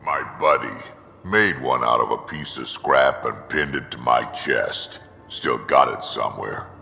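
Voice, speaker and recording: A man voicing a blunt, wry, grizzled old alien warrior in a video game, with a gravelly growl. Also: rumbling low, unhurried, sharp tone, in a large hall, faint music behind, heavily acted. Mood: wry, grumbling. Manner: blunt, sardonic. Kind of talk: video game character acting.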